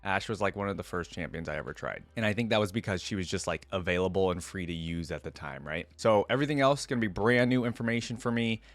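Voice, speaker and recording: Man, low voice, dry close sound, reaction host, conversational commentary, reading aloud. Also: quick pace, few pauses, dry recording.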